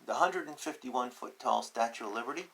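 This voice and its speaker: A man reading a picture book aloud to children, deliberate and emphatic in short phrases, with chatty, playful asides. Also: medium pitch, animated, gravelly, a bit bright.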